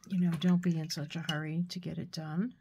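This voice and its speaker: A woman with a stuffy nose from a cold, giving a relaxed, chatty painting tutorial with fillers and soft asides. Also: low voice, fairly quick, creaky, slight background noise. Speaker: art tutor.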